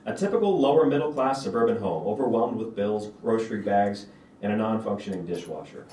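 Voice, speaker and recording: A man's voice: on the low side, animated, fairly quick, warm tone. Man narrating in a roomy hall. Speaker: narrator reading stage directions. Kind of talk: screenplay table read, scripted narration. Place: stage of a small auditorium. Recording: roomy auditorium, distant mic, background noise.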